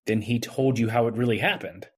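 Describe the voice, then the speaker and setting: A man playing a bewildered, earnest man insisting on his innocence in an old-time radio drama, with a husky voice. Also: mid-range voice, a little fast, lightly acted. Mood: strained.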